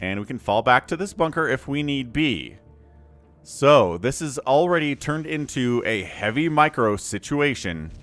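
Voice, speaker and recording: A male game shoutcaster talking through a replay in a loose, conversational way, cracking jokes and humming to himself. His voice swings widely up and down as he reacts. Faint background music plays under him. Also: mid-range voice, a little slow. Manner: animated, chatty, jokey, with laughs and hummed fillers. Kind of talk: casual play-by-play commentary.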